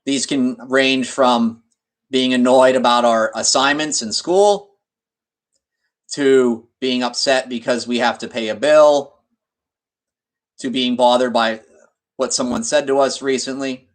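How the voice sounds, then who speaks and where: A man leading a guided meditation in an instructional way, speaking deliberately in short phrases with pauses mid-sentence. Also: mid-range voice.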